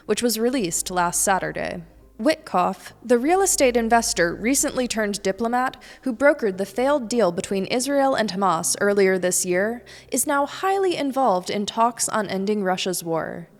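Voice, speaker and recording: A woman's voice: medium pitch, in a large hall. A young American woman from the United States presenting a weekly news show, reading a script with pointed, sardonic asides.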